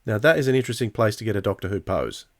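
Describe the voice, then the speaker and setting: A man's voice: fairly low pitch, lively intonation, very fast. A man chatting casually as a podcast host. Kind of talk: casual chat.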